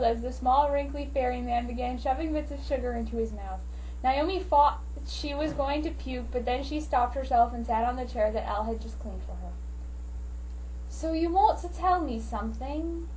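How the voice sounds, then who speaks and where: High-voiced woman reading a story aloud. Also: darkish tone, recorded from a distance, a little hiss or hum, low-quality recording. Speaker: amateur reader of fan fiction.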